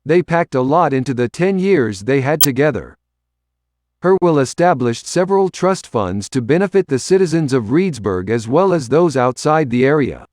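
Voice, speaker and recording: A man narrates local history from a prepared script. His voice sits at a middle pitch, and the recording is dry, with very little room sound. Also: expressive, moderate pace, darkish tone, no room sound.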